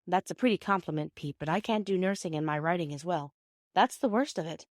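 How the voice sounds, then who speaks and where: A woman voicing a gentle, patient British mother in a storybook, reasoning tenderly and in measured phrases. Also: fairly low pitch, no echo, studio quality, natural voice. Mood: patient, kindly.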